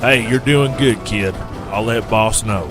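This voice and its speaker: A man's voice: fairly low pitch, a little slow, over music, lightly acted. An actor playing a blunt, folksy veteran male wrestling crew boss in audio drama dialogue. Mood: blunt, advising.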